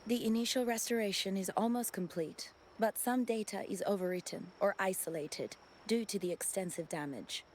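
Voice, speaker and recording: A woman's voice: mid-range voice, normal speed, hardly any pauses, a little hiss or hum, natural voice. A woman playing a dutiful subordinate in a video game, reporting in a deferential, formal and precise manner. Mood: deferential, businesslike. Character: dutiful female subordinate reporting to her lady, polite and efficient. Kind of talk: scripted video game character acting.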